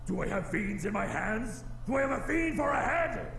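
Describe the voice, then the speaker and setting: A man's voice: high-pitched, very animated, gravelly, fairly bright tone, exaggerated voice. Pompous, echoing male flame demon. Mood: indignant, shouting. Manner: pompous, formal, slow delivery. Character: haughty centuries-old male flame demon, easily enraged. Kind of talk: visual novel voice acting. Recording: roomy echo with music behind.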